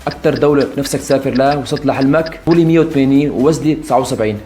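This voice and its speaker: Male vlogger, 20s, Syrian accent, casual address to followers, mid-pitched, extremely quick delivery. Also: a little mellow. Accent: Syrian Arabic. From Aleppo, Syria. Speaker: YouTube content creator. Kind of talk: casual vlog answering follower questions.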